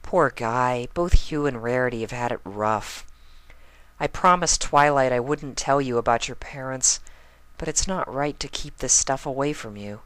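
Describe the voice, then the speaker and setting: A man's voice: medium pitch, normal speed, fairly bright tone, smooth, no room sound, pitched above the performer's own voice, close to an everyday voice. A man voicing a kind, protective, hyperactive young female cartoon pony, chatty and emphatic, in a fan story reading. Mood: tender, concerned.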